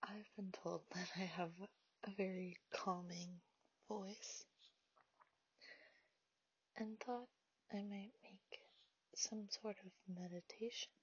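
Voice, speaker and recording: Woman meditation guide: breathy half-whisper, unhurried delivery, muffled dry home recording. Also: medium pitch, fairly even intonation, dry recording. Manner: soft, half-whispered, slow and soothing.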